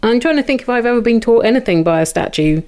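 A woman's voice: fairly high pitch, lively intonation, fast, darkish tone, clear, resonant tone. A woman chatting satirically as a comedy panellist, with a wry, informal manner.

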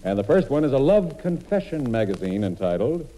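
A man's voice: medium pitch, big pitch swings, a little fast, mellow, soft background music. A man performing scripted comedy narration on old-time radio, telling his story in a mock-earnest way. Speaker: comedian and monologist. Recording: noisy old radio broadcast recording.

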